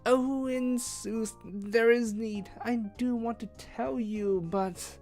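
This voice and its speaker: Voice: medium pitch, steady tone, slow pace, a little mellow, faint music behind, voice pushed up. A storyteller voicing a timid, frightened young page boy who pleads and trembles in old-fashioned speech. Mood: fearful.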